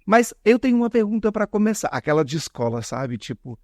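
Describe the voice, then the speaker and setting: A man's voice: high voice, sing-song, brisk, a little mellow, dry recording, clean studio sound. A man with a Brazilian accent hosting a podcast interview in a chatty, informal, complimentary way. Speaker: podcast host. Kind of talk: conversational interview.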